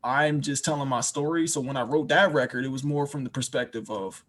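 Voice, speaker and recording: A man's voice: medium pitch, brisk, fairly bright tone. A young man from Washington, D.C., a rapper and producer, talking reflectively as a podcast guest, often restarting and repeating words. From Washington, D.C., USA. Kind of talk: podcast interview.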